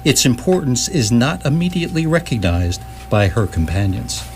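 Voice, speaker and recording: A male narrator an actor delivers dry, learned narration with a very animated pitch range. It is radio theatre, with some noise behind the voice. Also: moderate pace. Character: dry, erudite encyclopedic guide narrator.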